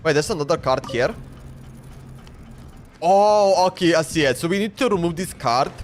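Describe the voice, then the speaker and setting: A man's voice: a little high, lively intonation, normal speed. A man livestreaming a video game, thinking aloud in casual commentary and often repeating himself, talking to the game and his chat. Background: game music.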